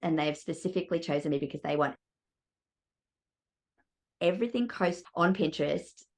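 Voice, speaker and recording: A woman's voice: lowish voice, lively intonation, normal speed, a little mellow. An Australian woman, an interior designer and mentor, chatting in a casual podcast interview and rambling along with plenty of "you know"s.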